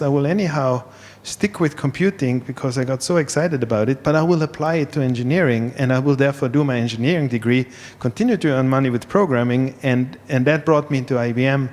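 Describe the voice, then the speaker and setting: A man's voice: mid-range voice, a little mellow. A man in his fifties from Austria with an Austrian accent, earnestly introducing himself as a panelist. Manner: earnest, with small laughs and repeated words. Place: event hall. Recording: reverberant event hall.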